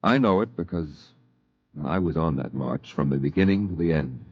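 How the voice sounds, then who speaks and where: A man narrating a 1950s radio drama as a grown man recalling his youth, in a measured, reflective way. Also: a bass voice, lively intonation, slightly rough, no room sound, heavily acted. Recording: dull, muffled old radio broadcast.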